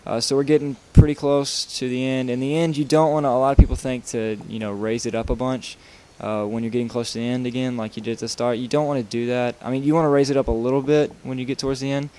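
A man's voice: medium pitch, fairly even intonation, fast, hardly any pauses, warm tone, a little hiss or hum. A young man talking casually over his gameplay while showing how to build a track, rambling with lots of fillers and restarts. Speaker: video game tutorial maker.

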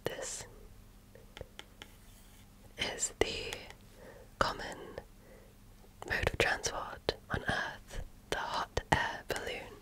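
A woman whispering breathily in a gentle, deliberate, patient way, as an examiner in an ASMR roleplay. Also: poor audio. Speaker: ASMR performer playing a medical examiner. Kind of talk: ASMR roleplay, soft-spoken personal attention.

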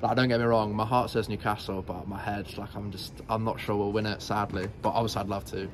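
A man's voice: lowish voice, level tone, fast. A young man from North East England answering a street interview as a football fan, hesitant, with many "um"s and "like"s. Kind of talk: street vox pop. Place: city street outdoors. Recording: noisy street background.

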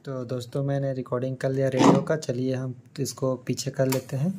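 समान सुर में बोलता भारतीय आदमी. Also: male voice, mid-range voice, monotone, fairly quick, very noisy. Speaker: vlogger demonstrating old electronics. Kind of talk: casual product demonstration.

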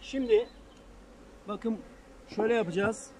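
A man's voice: high voice, measured pace, a little mellow, slight background noise. Brief: man with a raised, light voice, hands-on beekeeping teacher talking as he works, faint buzzing field behind. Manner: practical, addresses viewers as friends, thinks aloud while working. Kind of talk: casual outdoor how-to lesson. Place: open field beside beehives, outdoors. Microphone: far camera on a tripod.

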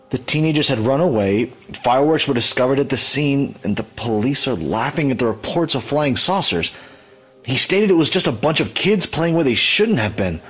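A man playing an earnest, easygoing teenage boy in a fan audio drama, hesitant and expressive. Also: mid-range voice, breathy edge.

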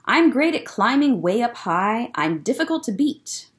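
A woman voicing a small, plucky, boastful male kitten in a children's picture book, with dramatic drawn-out delivery. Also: on the high side, sing-song. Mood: proud.

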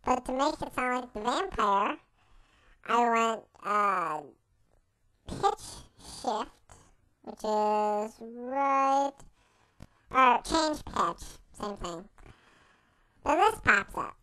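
A young man explaining a software tutorial step by step, his voice run through a pitch shift effect that raises it into a chipmunk sound. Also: animated, extremely slow, long pauses, smooth, dry recording, heavily acted, rough recording. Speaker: video tutorial host. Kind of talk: screen-recorded software tutorial.

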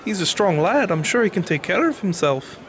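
A man's voice: sits high, big pitch swings, normal speed, a bit bright, a little hiss or hum, exaggerated voice. A man playing an earnest, humble medieval peasant farmer in an audio drama, with a pleading, hesitant manner. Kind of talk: audio drama acting and narration.

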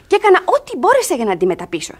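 An actress playing a pleading, scheming young ward in a classic comedy for radio theatre, speaking dramatically. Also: female voice, very high-pitched, wildly swooping pitch, full character voice. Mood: distressed, confiding. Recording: husky-sounding archive radio theatre recording.

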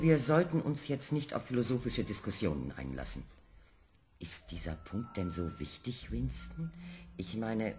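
Hesitant peacemaking colleague, lowish voice, lively melody. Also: male voice, background noise. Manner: hesitant, placating.

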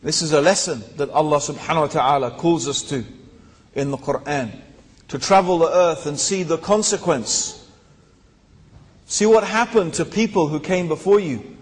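A man tells his own story to a seated crowd in a large hall, with fillers and rhetorical asides along the way. His voice sits somewhat high for a man. A handheld mic picks him up, and the big room adds a long reverb tail. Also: husky. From born in Tanzania, raised in England. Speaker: speaker. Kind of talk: personal story talk to an audience. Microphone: handheld microphone.

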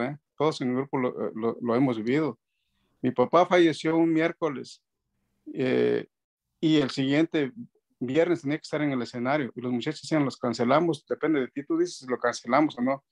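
A man's voice: medium pitch, normal speed, gravelly. A man in his fifties on a video call from home, a band's keyboardist chatting casually, rambling with many fillers and restarts. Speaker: band keyboardist, guest. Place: indoor room at home. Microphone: video call webcam.

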